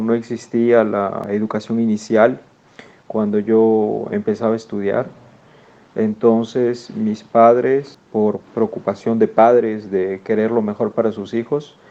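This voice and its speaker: A man in his forties with a northern Peruvian accent tells his story haltingly, circling back over his own words. His voice is low, gravelly and dark. The recording is dull and lo-fi. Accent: northern Peruvian Spanish. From Peru. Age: 40s. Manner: halting, repeats words and restarts, many "eh" fillers. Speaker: educator guest. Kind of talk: personal recollection.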